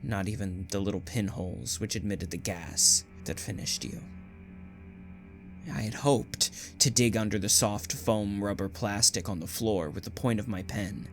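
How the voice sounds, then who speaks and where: A man's voice: lowish voice, a little slow, faint music behind. A man playing a desperate condemned poet in a dystopian audio drama, with an intense, dramatic delivery. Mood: grim recounting. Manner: intense, pleading, stammering when distressed. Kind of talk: dramatic audio drama acting with first-person narration.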